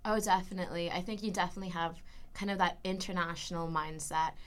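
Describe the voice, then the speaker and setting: A young woman with an American-sounding accent, talking in a relaxed podcast chat. She is quick with playful quips and laughs often. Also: fairly low pitch. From Philippines. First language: Tagalog. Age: young adult. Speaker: podcast guest. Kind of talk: casual chat.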